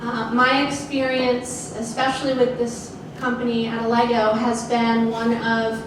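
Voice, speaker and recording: A middle-aged woman speaks on a stage in a large open event space, her voice fairly high but held in a narrow, even range. She talks in a measured, thoughtful way with frequent fillers. The sound is picked up from far off by a camera, with strong room echo and steady background noise. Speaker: guest speaker, sales executive. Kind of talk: onstage fireside chat. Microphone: handheld mic heard from a distant camera.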